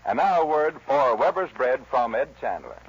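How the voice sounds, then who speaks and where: A man's voice: medium pitch, sing-song, moderate pace, slightly rough, dull audio. A man hosting a 1940s radio show, announcing from a script on a vintage recording with western music behind him.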